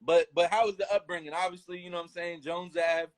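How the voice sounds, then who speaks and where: A man's voice: sits high, fairly even intonation, fast. A man hosting a casual live-stream chat, hyped and repeating his words. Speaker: host.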